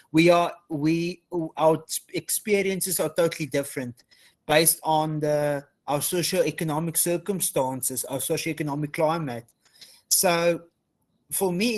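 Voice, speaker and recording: A man with a South African accent giving an earnest panel talk as a researcher and advocate, often repeating phrases, on a video call. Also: a little high. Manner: earnest, repeats phrases like "as well" and "you know". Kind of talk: panel presentation.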